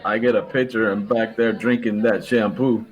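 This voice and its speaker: A man's voice: lowish voice, fairly even intonation, normal speed, background noise, natural voice. A man playing a brusque, wry bartender in a radio comedy. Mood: amused, wry. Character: dry, wry male bartender.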